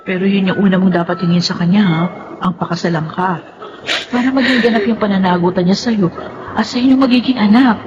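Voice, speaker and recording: A woman playing a kind, earnest single saleswoman in a radio drama, expressive and sympathetic as she tells her life story. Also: medium pitch, brisk, dull audio, background noise, lightly acted. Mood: concerned. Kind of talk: radio drama narration and dialogue.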